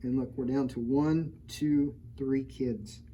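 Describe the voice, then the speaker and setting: Mellow-voiced woman reading a kids' story. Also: extremely low pitch, fairly even intonation, slow, a little hiss or hum, low-quality recording. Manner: playful, teasing, stops often to point out pictures. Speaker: children's librarian. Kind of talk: storytime read-aloud with chatty asides.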